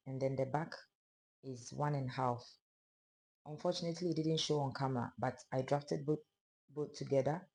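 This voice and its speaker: A sewing instructor with a hoarse, fading voice, calmly narrating a pattern-drafting tutorial step by step. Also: medium pitch. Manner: calm, step-by-step explaining of measurements. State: hoarse, losing voice.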